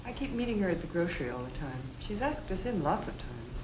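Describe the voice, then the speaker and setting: An actress playing a spirited young American working wife who coaxes and argues, in the style of a 1950 educational film. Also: female voice, fairly low pitch, big pitch swings, fairly quick. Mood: mellow. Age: young adult. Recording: echoey, faintly noisy 1950 film soundtrack.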